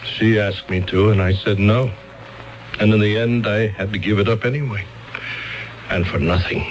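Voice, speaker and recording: An actor playing an earnest male lawyer in a 1970s radio drama, speaking in a measured way. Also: on the low side, steady tone, lightly acted, rough recording. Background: radio drama music.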